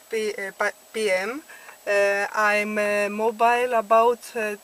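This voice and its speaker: A woman with a Greek accent speaks haltingly as a ham radio operator inside a car. Her voice sits at a middle pitch, and there is clear noise around it. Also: rough recording. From Greece. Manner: halting, searching for words. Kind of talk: ham radio contact, conversational.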